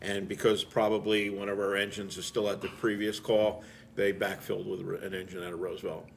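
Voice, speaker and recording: A man's voice: fairly low pitch, little pitch movement, fairly quick, vocal fry, a little hiss or hum. A man chatting casually as a firefighter guest, reflective and repeating phrases as he tells stories from the job. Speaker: firefighter and driver being interviewed. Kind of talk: casual storytelling.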